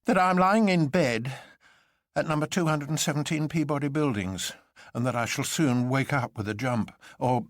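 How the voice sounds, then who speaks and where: A man's voice: on the high side, moderate pace, no echo, clean studio sound, pitched above the performer's own voice, close to an everyday voice. A man voicing an earnest, stubborn doctor who speaks precisely and emphatically for common people in a story. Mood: earnest, emphatic.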